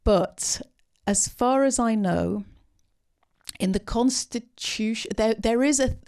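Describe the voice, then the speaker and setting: A woman speaking unscripted at a post-film discussion, answering audience questions with many pauses, ums and restarts. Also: a little high, a little mellow. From part Syrian.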